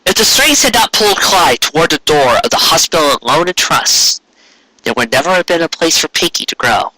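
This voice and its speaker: A man reading a story aloud as an amateur narrator, in a dramatic, measured way with pauses. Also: on the high side, lively intonation, pushed and bright, no room sound, rough recording. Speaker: amateur audiobook reader.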